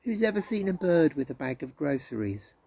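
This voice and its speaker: A man acts out a kindly storybook teacher, playful and reassuring. The voice is mellow and husky, with rhetorical questions put gently and tenderly. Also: a little high, very animated, brisk, heavily acted. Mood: playful questioning.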